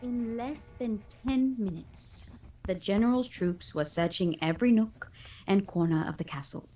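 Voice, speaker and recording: An actress playing a solemn young gentlewoman narrating an ordeal in a radio drama, measured, formal and restrained. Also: medium pitch, noisy background, natural voice. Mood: somber recounting.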